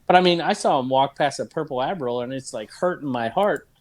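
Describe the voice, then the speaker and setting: A man co-hosting a casual podcast chat, animated and quick to laugh. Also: medium pitch. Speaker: podcast co-host.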